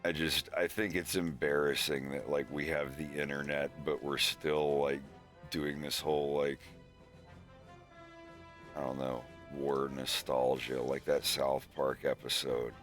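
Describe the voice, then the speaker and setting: A man's voice: rumbling low, little pitch movement, unhurried, fairly bright tone, soft background music. An American man streaming from home, rambling in casual chat and peppering his talk with "like", "dude" and swearing. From United States.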